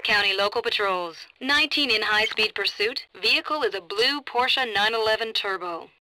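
Bright, clipped police dispatcher. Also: female voice, medium pitch, animated, moderate pace, no echo. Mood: businesslike. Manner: clipped, procedural. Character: brisk, composed female police radio dispatcher. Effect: police radio. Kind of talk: video game radio chatter. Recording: noisy police radio, clicks.

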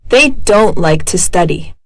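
A woman reading short lesson phrases slowly and clearly, as if for repetition. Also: fairly low pitch, lively intonation, fairly bright tone, no room sound, studio quality. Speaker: dialogue reader. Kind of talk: slow, drilled language-lesson phrases.

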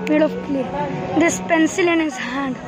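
High-voiced woman vlogging over loud music. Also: moderate pace. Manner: exclaiming, repeating short phrases. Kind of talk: casual vlog tour commentary. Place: large dim public exhibition hall. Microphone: handheld phone. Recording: loud music and crowd behind the voice.

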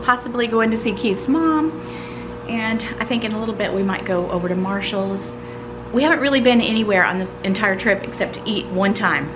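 A middle-aged woman chatting into a phone held at arm's length, her voice sitting a little high. Her talk is relaxed and easy, with soft laughs between sentences. Steady background hiss runs under the phone audio. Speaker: vlogger. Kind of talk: casual vlog talk to camera. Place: indoors in a vacation condo.

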